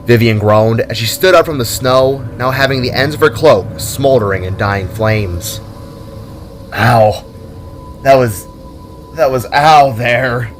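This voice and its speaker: Male narrator, medium pitch, dramatic scripted storytelling with a light music bed.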